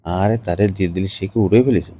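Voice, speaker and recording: A man's voice: sits low, steady tone, a little fast, mellow, no echo. A man in a casual, cajoling, colloquial phone chat. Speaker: caller in a staged phone conversation.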